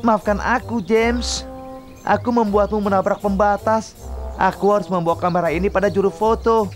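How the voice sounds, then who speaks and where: A man's voice: high voice, fairly even intonation, normal speed, soft background music, close to an everyday voice. A man voicing an eager young steam engine in a children's cartoon, hasty but apologetic and earnest. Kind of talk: dubbed children's cartoon acting.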